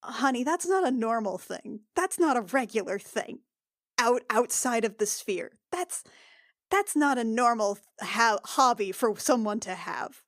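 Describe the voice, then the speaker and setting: Woman w higher voice doing improv rpg chat, casual n halting, dry close sound. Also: animated, a bit bright, no echo. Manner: halting, conversational, informal. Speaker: player in a tabletop roleplaying game.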